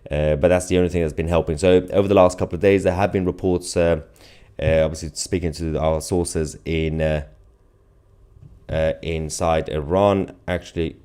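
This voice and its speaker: A man's voice: low voice, fairly even intonation, moderate pace, dark tone, clear, resonant tone. A man hosting a live stream in an informal, off-the-cuff way, reading out viewer comments and giving casual commentary.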